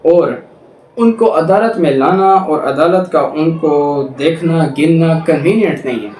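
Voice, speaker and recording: Echoey, higher-pitched Pakistani male lecturer. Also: a little mellow. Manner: reads English clauses slowly, then explains with examples, code-switching. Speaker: law lecturer. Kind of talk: explanatory lecture, reading statutes then explaining. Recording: echoey room with clear background noise.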